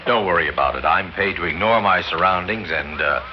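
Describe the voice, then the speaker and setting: Easygoing young escort, played by a man: deep, lively voice, muffled vintage broadcast. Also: a little fast, close to an everyday voice. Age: young adult. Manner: polite and agreeable, slightly hesitant. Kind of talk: 1940s-50s radio drama acting. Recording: muffled vintage radio recording with hiss.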